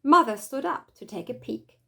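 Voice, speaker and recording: A woman reading a children's story aloud as expressive scripted narration. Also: on the high side, normal speed, gravelly. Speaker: storybook reader.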